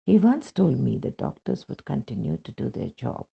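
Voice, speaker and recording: A man reading a scripted spiritual testimony in a gravelly, husky voice, with measured, expressive storytelling and emphatic phrase openings. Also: mid-range voice. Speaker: reader of devotional testimonials. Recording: dull, lo-fi voice recording.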